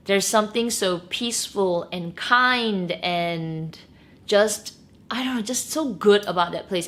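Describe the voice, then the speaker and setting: A woman's voice: mid-range voice, fairly bright tone. Bubbly Malaysian woman in her thirties. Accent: Malaysian, with a Singaporean tinge. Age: 30s. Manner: bubbly and chatty, with frequent laughs and squeals. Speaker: beauty and luxury vlogger. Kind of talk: casual Q&A chat to camera.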